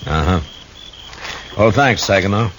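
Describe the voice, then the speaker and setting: An actor playing a shrewd, unflappable American frontier marshal, speaking dryly and deliberately in a 1950s radio western. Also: male voice, fairly low pitch, lively intonation. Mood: sober, probing. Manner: dry, laconic, deliberate. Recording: noisy vintage 1950s radio recording.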